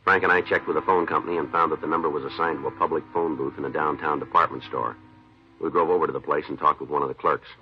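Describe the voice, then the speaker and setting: An actor playing a terse, businesslike police detective in a 1950s radio drama, clipped and deadpan as he questions and narrates. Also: a bass voice, quick pace, slight background noise. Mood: matter-of-fact. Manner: clipped, deadpan, matter-of-fact. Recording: dull old-time radio recording.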